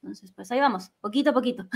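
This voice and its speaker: A woman's voice: a little high, fast, gravelly. A woman, chatting casually and a bit ramblingly as an interviewed fandub singer. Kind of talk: casual live interview chat.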